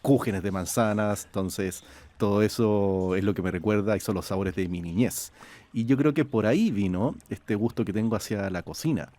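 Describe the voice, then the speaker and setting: A man, a chef and food scientist, talking. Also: moderate pace. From Chile. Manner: lively storyteller, rises in pitch when quoting. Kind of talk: conversational interview. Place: outdoor garden.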